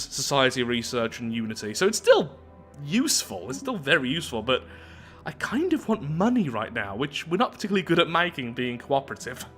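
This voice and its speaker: Bright-voiced man commentating on a game. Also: on the high side. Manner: thinks aloud, self-critical asides. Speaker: gaming video host. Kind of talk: game playthrough commentary mixing read-aloud story text and casual asides. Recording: music playing behind the voice. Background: background game music.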